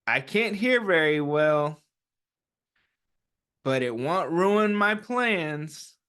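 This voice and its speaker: A man's voice: on the high side, lively intonation, drawn-out words, a bit bright, clear, resonant tone. A man hosting a children's story time, reading aloud and chatting to young listeners in an encouraging way, often asking questions and answering them himself.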